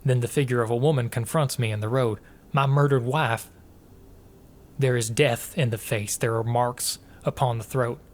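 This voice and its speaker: An actor playing a tormented husband confessing to murder, reading a gothic tale in a somber, formal, literary manner. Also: male voice, medium pitch, steady tone, normal speed, darkish tone, lightly acted. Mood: bleak, melancholy. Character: tormented, guilt-ridden husband confessing to murdering his wife. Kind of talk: dramatic first-person reading of a gothic tale.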